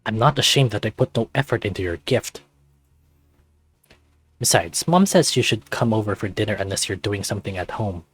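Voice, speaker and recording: A man's voice: on the low side, moderate pace, a bit bright, breathy edge. A man voicing a goofy, laid-back teenage male furry best friend with casual, hesitant slacker phrasing in a visual novel. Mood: sheepish.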